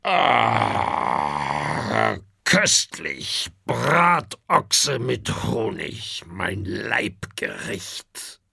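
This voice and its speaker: A storybook narrator voicing a big, gluttonous, grumpy male dragon father with a hoarse, growling, blustering voice. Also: mid-range voice, lively intonation, extremely slow, fairly bright tone, dry recording, clean studio sound, heavily acted. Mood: greedy, pleased.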